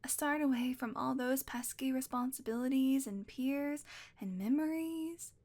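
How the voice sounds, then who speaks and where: High soft-voiced witch lady, sweet but kinda menacing, woman doing a close-mic whispery roleplay. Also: unhurried. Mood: soothing. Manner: soft, doting, teasing, drifts into whispers. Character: sweet possessive female witch, playfully menacing. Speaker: audio roleplay performer. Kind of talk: scripted ASMR roleplay.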